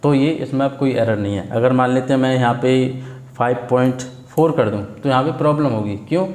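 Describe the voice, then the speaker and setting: An Indian man teaches in an informal screen-share tutorial style, recorded with a roomy echo. His pitch is average for a man. He explains step by step, posing questions, answering them and checking in often. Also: darkish tone. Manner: didactic, asks and answers own questions, frequent check words. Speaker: programming tutor. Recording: echoey, roomy sound.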